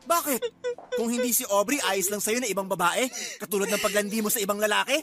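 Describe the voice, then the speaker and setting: A man's voice: high voice, fast, over a noisy crowd. A man playing an angry, cheating live-in partner in a Filipino radio drama, demanding and indignant in delivery. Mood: indignant, accusing.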